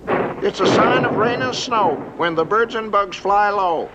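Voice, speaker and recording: A man's voice: high voice, unhurried. A man voicing a quirky weather-lore forecaster who recites rhymes in a singsong way in an old cartoon film. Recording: dull, noisy old film soundtrack.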